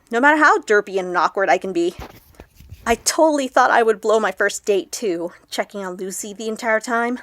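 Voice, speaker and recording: A woman playing an awkward, excitable young woman vlogger in an audio drama, chatty and expressive. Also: fairly high pitch, dry recording, studio quality, close to an everyday voice. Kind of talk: audio drama acted as a confessional vlog.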